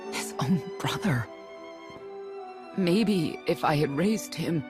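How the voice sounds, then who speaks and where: A woman's voice: low voice, animated, slow pace. An actress playing a grave, resolute middle-aged warrior mother in a space saga game, solemn and reflective. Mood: sorrowful, resolute. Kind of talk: scripted game cutscene acting. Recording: music playing underneath.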